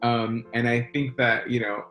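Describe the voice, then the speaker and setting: A man speaks as an interview guest in an easy mid-range voice. He tells his stories in a chatty, animated way. Faint music plays underneath. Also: mid-range voice, unhurried, poor audio. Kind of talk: casual interview talk.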